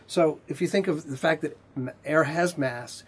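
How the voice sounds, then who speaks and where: A man in his fifties giving a technical lecture in a measured, explanatory way with pauses mid-sentence, his voice slightly husky. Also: a little high. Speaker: instructor, R&D manager. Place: indoor video studio with whiteboard.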